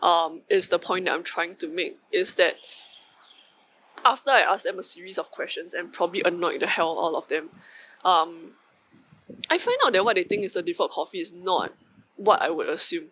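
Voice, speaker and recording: A young Singaporean man speaks at a fairly high pitch, in a bright, forward voice. He thinks out loud in a loose, meandering way, with plenty of ums and side remarks. A faint hum of room ambience sits behind him. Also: moderate pace, low-quality recording. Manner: rambling, many fillers and asides. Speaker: vlogger. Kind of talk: casual reflective monologue.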